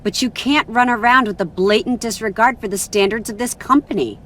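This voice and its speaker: A woman's voice: fairly high pitch, fairly quick, a bit bright. A woman playing a stern, exasperated wrestling executive who is in charge, in a video game cutscene. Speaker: executive character. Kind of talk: scripted game cutscene dialogue.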